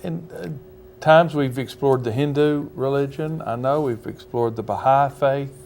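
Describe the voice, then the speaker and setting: A male pastor speaking as a guest on a TV interview, measured and hesitant with many pauses. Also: mid-range voice, creaky, a little mellow, slight background noise.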